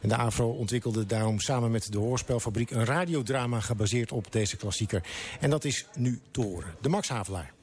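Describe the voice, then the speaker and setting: A man's voice: medium pitch, fairly quick, a little mellow, breathy edge. A man introducing a programme as a radio presenter.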